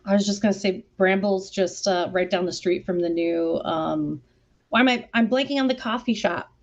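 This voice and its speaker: A woman's voice: medium pitch, moderate pace. A woman chatting casually in a panel conversation. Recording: dull, lo-fi call audio.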